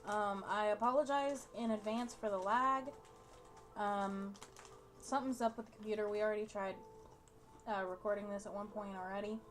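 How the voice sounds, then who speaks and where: A woman's voice: mid-range voice, steady tone, normal speed. A young woman hosting a gaming video, chatting casually and reading game text aloud.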